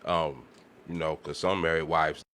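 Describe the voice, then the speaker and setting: Deep-voiced man in casual discussion. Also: fairly even intonation, unhurried, a bit bright, clear, resonant tone. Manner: slangy, conversational. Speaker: podcast panelist.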